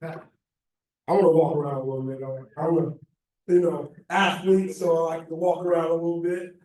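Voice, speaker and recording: An American man in his thirties with a slightly raspy voice, giving an informal, halting motivational talk as a guest speaker. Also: a little high, fairly even intonation, darkish tone. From Illinois, United States. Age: 30s. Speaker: guest speaker, football coach. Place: echoey indoor meeting room. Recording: very echoey room, distant mic.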